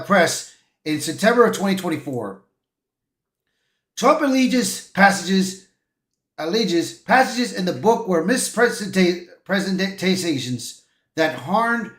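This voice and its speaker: A man's voice: high-pitched, fairly bright tone, reverberant. A man hosting a livestream, reading articles aloud with casual, opinionated commentary and the odd stumble over words. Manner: informal, opinionated, punctuated with "bro", "man" and "dude". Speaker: livestream host.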